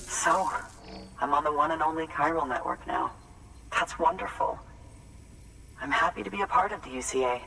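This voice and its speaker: A man playing a nervous, gentle, sentimental collector, hesitant and earnest, in a video game cutscene. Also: medium pitch, creaky, recorded from a distance, slight background noise, full character voice, poor audio. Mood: grateful.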